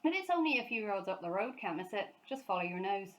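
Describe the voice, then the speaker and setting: A chatty working-class London cabbie from a children's storybook, played by a woman. He is matey and concerned, talking in loud, animated swings of pitch. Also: quick pace. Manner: matey, colloquial.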